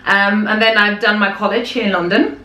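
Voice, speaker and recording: A young actress, from West Africa and Switzerland, talking casually in an interview with frequent ums and easy laughs. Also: female voice, medium pitch, steady tone, fairly quick, sharp tone. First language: French. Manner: hesitant, many ums, laughs easily. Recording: echoey room, faint background noise.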